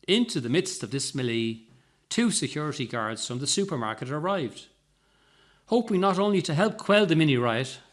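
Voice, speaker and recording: Animated male story reader, fairly high. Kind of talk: expressive scripted narration of comic fiction.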